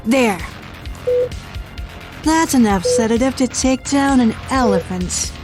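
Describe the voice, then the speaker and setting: A woman's voice: on the high side, animated, takes it slowly, music in the background. A woman playing a doctor who mocks and defies her captor. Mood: defiant. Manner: mocking and defiant. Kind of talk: indie audio drama acting.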